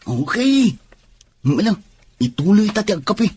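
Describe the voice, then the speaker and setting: A man acting a high-voiced, husky, inquisitive neighbour. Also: sing-song, a little slow, a little mellow, full character voice. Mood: sympathetic, scheming. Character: inquisitive, sociable, soft-hearted landlord and neighbour. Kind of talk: radio drama acting.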